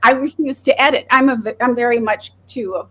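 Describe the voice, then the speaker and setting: A woman from Wisconsin hosting a podcast, chatting casually and telling animated stories. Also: on the high side, brisk. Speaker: podcast host. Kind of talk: casual chat.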